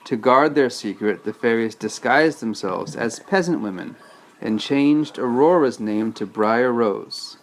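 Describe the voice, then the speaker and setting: A man's voice: mid-range voice, unhurried, a little hiss or hum, low-quality recording. A man reading a storybook aloud as a father, patiently breaking off to explain things casually to a child.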